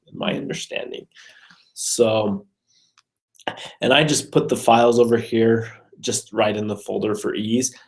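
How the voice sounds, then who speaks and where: A low-voiced man with a creaky, gravelly edge gives a casual walkthrough of code. He thinks aloud as he goes, sounding unsure and hesitant, like a student showing his work. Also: darkish tone, low-quality recording.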